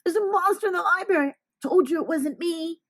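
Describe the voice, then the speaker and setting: A woman's voice: very high voice, brisk, a bit bright, no echo, studio quality, voice pushed up. A woman voicing an earnest, bold young boy in a children's storybook. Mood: indignant. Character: young boy, earnest, polite but bold little brother.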